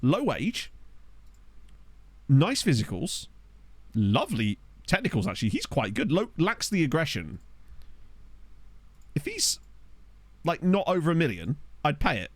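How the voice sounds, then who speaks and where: A chatty man talks his way through a football management game, wandering from thought to thought and chuckling at the players he finds. His pitch bounces around with lots of life. He sits close to a desk microphone in a dry room. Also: wildly swooping pitch, a little slow, pauses often, dry recording. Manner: chatty, rambling, laughs often, reacts aloud to players. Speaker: gaming streamer. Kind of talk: casual gameplay commentary.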